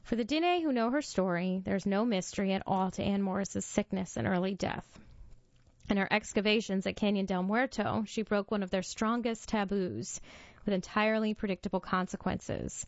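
Woman, magazine reader, steady read-aloud narration, dry dull lo-fi sound. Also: medium pitch, normal speed, no echo. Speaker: reader for a reading service.

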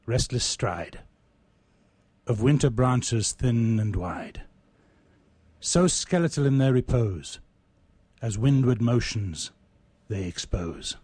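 A man's voice: mid-range voice, expressive, slow, darkish tone, husky, no echo. A man who is a poet and co-host, talking and reciting in a reflective, theatrical manner with florid wording. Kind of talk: casual chat and recited poetry.

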